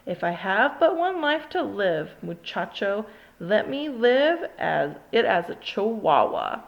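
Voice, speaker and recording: A woman voicing an excitable young boy kitten showing off with a put-on Spanish swagger. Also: medium pitch, takes it slowly, gravelly, highs cut off, heavily acted. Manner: excited, mock-Spanish bravado. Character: boastful young boy kitten playing daredevil.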